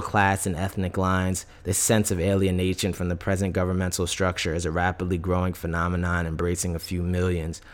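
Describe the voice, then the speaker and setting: A man reading a political essay from a script in a measured, formal delivery. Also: deep voice.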